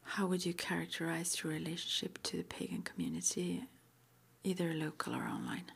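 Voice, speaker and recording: A woman whispering in an ASMR roleplay as an interviewer, breathy and halting, with gentle questions. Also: lowish voice, big pitch swings. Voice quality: breathy, mostly unvoiced whisper. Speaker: ASMR roleplay performer as witch school interviewer.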